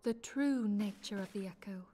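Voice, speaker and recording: A woman's voice: medium pitch, moderate pace, mellow, natural voice. An actress playing an earnest, resolute noblewoman who leads a fantasy order in a video game, with stately old-fashioned speech. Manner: formal, stately archaic diction. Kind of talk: dramatic video game voice acting.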